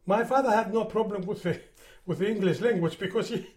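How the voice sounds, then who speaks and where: A man's voice: sits high, brisk, a little mellow. An older man from Greece with a Greek accent, speaking English as a second language, recalling his working life in an interview, often repeating words as he searches for them. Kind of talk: oral history interview.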